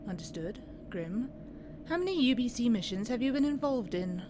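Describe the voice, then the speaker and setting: A woman playing a formal, businesslike review board officer conducting a measured interrogation in an audio roleplay. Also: a little high, animated, background noise, lightly acted. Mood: formal, probing.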